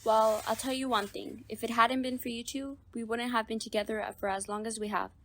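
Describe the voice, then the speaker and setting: A vain, embittered mother from a radio drama, acted by a woman. Her voice sits on the high side, with a snippy, theatrical edge. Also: steady tone, fairly quick, a bit bright, slight background noise, natural voice. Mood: bitter, blunt. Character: bitter, vain mother in a failing marriage.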